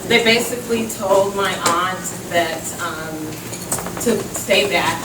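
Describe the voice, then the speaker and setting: A woman's voice: mid-range voice, takes it slowly. A woman in the audience of a public meeting, giving an informal account of events. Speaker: audience member. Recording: very noisy, distant, echoey hall.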